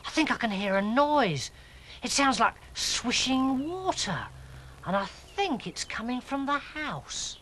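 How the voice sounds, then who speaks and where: Storybook female frog, bossy and fretful, high and lively, put on by a narrator. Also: takes it slowly, a little hiss or hum, exaggerated voice. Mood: curious. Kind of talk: children's storytelling.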